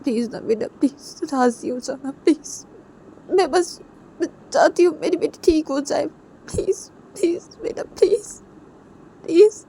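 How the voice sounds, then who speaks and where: A woman's voice: on the high side, warm tone, a little hiss or hum, pitched above the performer's own voice, natural voice. A woman voicing an anxious middle-aged mother who pleads tearfully and repeats her entreaties. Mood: tearful pleading. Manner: repetitive, imploring, drawn-out pleas.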